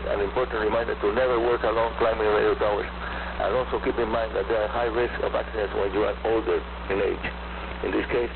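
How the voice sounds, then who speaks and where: Through a noisy, narrow shortwave signal comes an older man with a Cuban accent. His voice is low and gravelly. He hosts a scripted radio bulletin in a deliberate, emphatic way. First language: Spanish. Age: 70s. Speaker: radio host. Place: radio studio, heard over shortwave.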